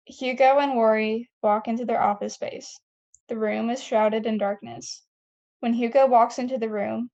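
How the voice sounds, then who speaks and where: A woman's voice: a little high, warm tone, lo-fi sound. A woman reading scene headings and stage directions as the narrator of a scripted table read.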